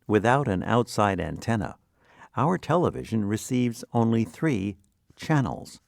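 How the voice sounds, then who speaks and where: A man reading scripted educational narration as a vocabulary teacher, with deliberate, instructional enunciation. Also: on the low side, expressive, gravelly, no room sound, clean studio sound.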